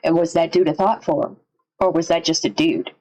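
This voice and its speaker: A woman giving casual live reaction commentary as a streamer, informal and sweary as she thinks out loud. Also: low-pitched, steady tone, moderate pace, low-quality recording. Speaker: streamer reacting to and analysing horror videos.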